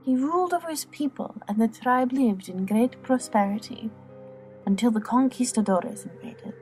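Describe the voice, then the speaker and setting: A woman playing an alluring, worldly courtesan who tells tales in a soft, measured, theatrical way for an audiobook drama. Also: on the high side, soft background music, lightly acted, poor audio. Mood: hushed storytelling. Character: alluring young female courtesan telling legends, worldly and teasing.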